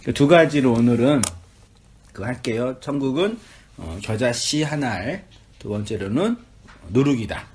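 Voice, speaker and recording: A man, a pastor, leading a small-group Bible study in a relaxed, chatty way, asking the group questions and laughing easily. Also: mid-range voice, measured pace. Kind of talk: casual Bible study teaching.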